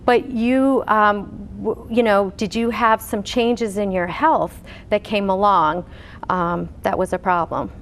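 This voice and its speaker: A woman's voice: medium pitch, steady tone, slow, slight background noise. A woman dietitian hosting an interview, asking questions in a friendly way with many fillers. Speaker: dietitian and host.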